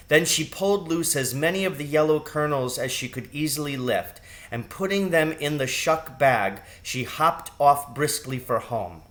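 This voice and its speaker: A man's voice: on the high side, fairly bright tone. A man narrating a children's novel, reading aloud as a storybook narrator.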